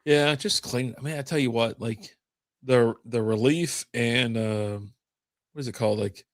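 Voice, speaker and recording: A man's voice: mid-range voice, a little slow, no echo. Easygoing chuckling man, dry sound. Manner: easygoing, chuckling, swears casually. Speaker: podcast co-host. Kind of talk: casual chat.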